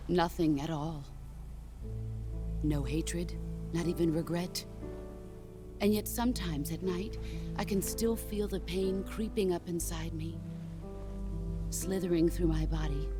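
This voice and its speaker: An actress playing a stoic, battle-hardened middle-aged soldier and mentor in a game cutscene, speaking gravely and deliberately. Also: female voice, lowish voice. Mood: quiet, sorrowful. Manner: measured, deliberate, grave delivery. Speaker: video game character. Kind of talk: dramatic cutscene monologue. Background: orchestral music.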